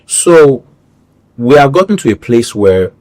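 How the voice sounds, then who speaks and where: A Nigerian man speaks as an interview guest with a Nigerian accent. He sounds middle-aged and earnest, and he often repeats a phrase for weight. His voice sits at an ordinary middle pitch for a man. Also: sing-song, a little slow, a little mellow, low-quality recording. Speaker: actor, podcast guest. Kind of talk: conversational interview.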